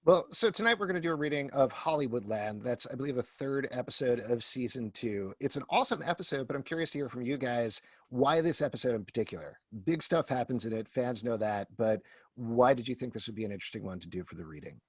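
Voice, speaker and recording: Fast-talking man moderating, close dry sound. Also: mid-range voice, lively intonation, breathy edge, no echo. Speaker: moderator, interviewer. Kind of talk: casual event hosting and interviewing.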